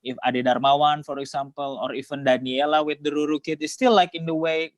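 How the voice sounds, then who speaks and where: Indonesian-accented guy on a video call, fairly high voice, dry sound, repeats words as he thinks. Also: steady tone, no echo. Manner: halting, repeats words often. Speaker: host. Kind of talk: casual reflective conversation.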